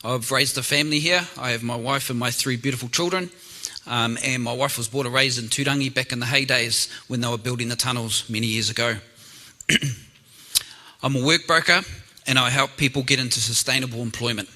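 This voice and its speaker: A middle-aged man from New Zealand with a local accent, introducing himself as a council candidate in a plain-spoken speech, often clearing his throat. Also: medium pitch, steady tone, a little fast, bright, forceful tone. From Auckland, New Zealand. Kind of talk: public campaign introduction.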